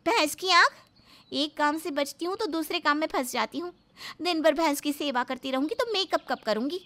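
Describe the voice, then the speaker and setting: A woman's voice: high voice, normal speed, pushed and bright. A woman voicing a vain, whiny young daughter-in-law in a cartoon family story, with a dramatic delivery. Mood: exasperated. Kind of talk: animated family story acting.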